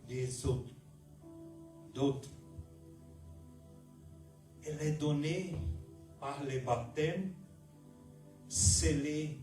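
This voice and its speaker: A man with a Brazilian accent preaches slowly, setting his words out in short, deliberate phrases. His voice sits at an ordinary middle pitch. It reaches the mic from some distance, in a roomy, echoing space. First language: Portuguese. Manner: slow, measured delivery with pauses between short phrases. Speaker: pastor. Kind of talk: sermon.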